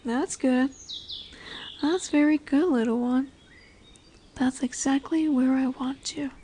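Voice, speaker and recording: Woman as a high, soft, commanding lamia. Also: steady tone, slow pace, a little hiss or hum. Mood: gently commanding. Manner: soft, commanding, soothing, often dropping into whispers. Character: dominant, tender female lamia, snake-bodied forest monster. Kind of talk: scripted ASMR roleplay audio drama.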